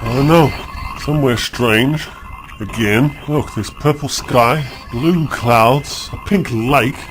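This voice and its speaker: A man's voice: medium pitch, slow pace, background noise, lightly acted. A man playing a cheerful Earth traveller. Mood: wondering. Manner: upbeat and wondering. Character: cheerful young male time traveller from Earth. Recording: voice with loud music under it.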